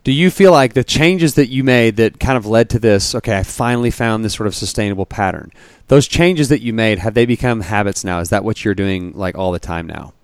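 A man's voice: fairly low pitch, brisk, smooth, dry recording. A man hosting a podcast, interviewing in a casual conversational way. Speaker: podcast host.

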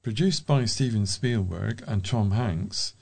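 A man's voice: fairly low pitch, slow pace, creaky, a little mellow. A British man reading local news items aloud as a volunteer news reader.

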